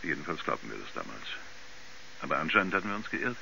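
An actor playing a folksy, skeptical neighbour man with a halting, dismissive way of talking in a radio drama. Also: extremely low pitch, big pitch swings, vocal fry, bright, forceful tone, exaggerated voice, low-quality recording. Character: folksy, skeptical male neighbour, chatty and hesitant. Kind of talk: 1970s German radio drama acting. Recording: hissy, band-limited 1970s radio recording.

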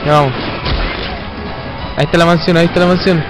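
A man's voice: on the high side, steady tone, quick pace. Slangy Chilean guy over game music. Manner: slangy, swearing. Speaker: video game player. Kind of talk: casual gaming chat with friends. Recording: game sound and music behind voice. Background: loud game music and effects.

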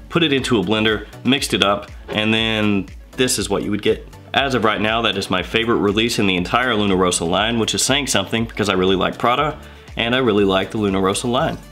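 A man in his thirties with a head cold, chatting through a casual video review and rattling off quick lists. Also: fairly low pitch, a bit bright. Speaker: fragrance reviewer. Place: indoor room with shelves of bottles.

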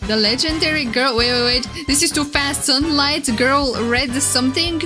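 A woman's voice: sits high, normal speed. A woman streamer giving casual, gushing, exclamatory reaction commentary. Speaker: VTuber streamer. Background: game trailer music.

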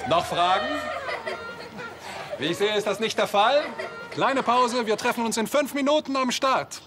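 A man's voice: high voice, a little slow. An actor playing a wry, ironic man who starts a race in a TV series. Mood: announcing. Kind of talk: TV series acting. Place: outdoor courtyard. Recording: outdoor sound with music and crowd.

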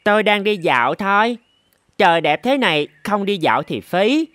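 An actor voicing a cocky, pushy young goat kid in an animated fable, boastful and pleading in a sing-song way. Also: mid-range voice, normal speed, no room sound. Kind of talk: Vietnamese animated fable dialogue.

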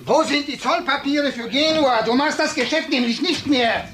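High-pitched, agitated bullying crook. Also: male voice, moderate pace, fairly bright tone, roomy sound. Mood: threatening. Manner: commanding, impatient. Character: agitated, bullying male crook demanding papers. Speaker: actor in a crime radio drama.

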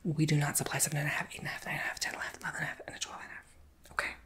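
A woman whispers in a fairly low, breathy voice. She plays a shop clerk in an ASMR roleplay, chatting playfully to a customer. Her delivery is informal, with slangy little asides. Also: monotone, racing, fairly bright tone. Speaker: ASMR performer playing a shoe shop clerk.

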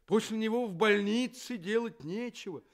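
An actor playing a sentimental, courteous iron woodman in a radio play, speaking earnestly and dramatically. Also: high-pitched. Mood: dramatic recounting. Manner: earnest, dramatic, drawn-out delivery. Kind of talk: theatrical Soviet radio play acting.

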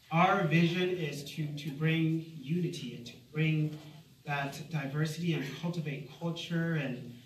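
A man's voice: fairly high pitch, recorded from a distance. Friendly choir leader, man, in an echoey hall. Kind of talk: introducing a choir. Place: large community hall stage.

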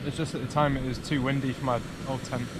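A man vlogging a wild camping hike outdoors in a relaxed, appreciative way, speaking into a handheld camera mic. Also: mid-range voice, fast. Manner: relaxed, appreciative, talks to the camera while walking. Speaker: hiking vlogger. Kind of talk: casual vlog narration. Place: outdoors on a mountain by a stream and tent. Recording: loud outdoor wind noise. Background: wind and outdoor ambience.

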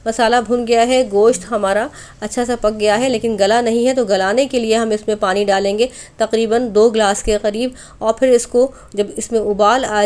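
A woman's voice: a little high, darkish tone. A woman hosting a cooking channel, narrating a recipe step by step in an instructional voice-over addressed straight to viewers.

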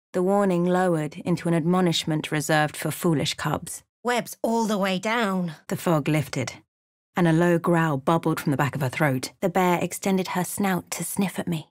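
A woman's voice: medium pitch, no room sound, natural voice. Woman acting a watchful adventuring woman, dry close recording. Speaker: game character voice actor. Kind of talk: video game voice lines, narration and barks.

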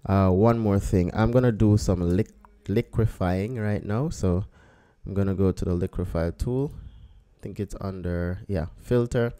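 Low-voiced man thinking aloud calmly. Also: measured pace, hardly any pauses, clean tone. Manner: relaxed, thinking aloud while working. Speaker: photographer teaching photo editing. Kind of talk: screen-recorded software tutorial.

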